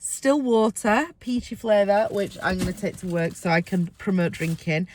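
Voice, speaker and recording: A woman with a squeaky voice from being ill, chatting excitedly to camera in a casual weight-loss vlog, with rambling asides. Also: moderate pace, a little hiss or hum.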